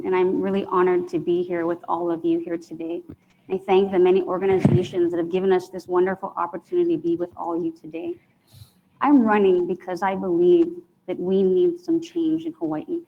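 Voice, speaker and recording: A woman's voice: lowish voice, normal speed, vocal fry, phone-quality sound, slight background noise. A woman giving an earnest campaign introduction as a mayoral candidate, building her points in rhetorical lists.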